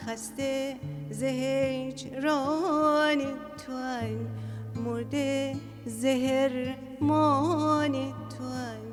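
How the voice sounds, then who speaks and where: Woman singing slowly, high voice. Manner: slow, drawn-out sung phrases. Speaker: singer. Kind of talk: singing a devotional poem. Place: live holy day program in a hall. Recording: long hall reverb with music. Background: instrumental music.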